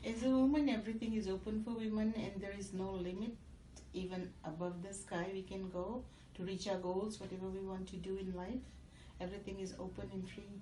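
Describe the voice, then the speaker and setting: A woman's voice: mid-range voice, steady tone, a little fast, mellow. A middle-aged woman from Fiji, a guest in a casual interview, talking earnestly with many ums and uhs. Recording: roomy echo, faint noise.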